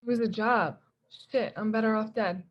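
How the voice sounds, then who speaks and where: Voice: mid-range voice, fairly even intonation, moderate pace, highs cut off, close to an everyday voice. An actor playing a battered young hustler in a screenplay table read, scared and defeated, with slangy fatalistic talk. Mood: gloomy, resigned.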